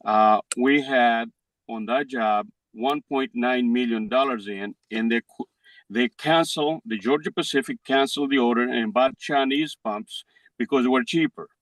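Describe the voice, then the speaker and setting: An older man with a Greek accent, Greek his first language, pleading his case to a judge in halting, broken English. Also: medium pitch, a bit bright, no room sound, rough recording. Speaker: defendant in court. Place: courtroom.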